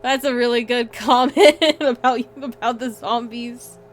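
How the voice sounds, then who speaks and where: A woman's voice: fairly high pitch, steady tone, unhurried. A woman streaming a video game live with casual, chatty commentary, often muttering to herself and to game characters.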